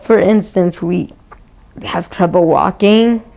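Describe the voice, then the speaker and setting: Woman, low pitch, slow pace, plain interview answer, listing examples. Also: vocal fry, dark tone, low-quality recording.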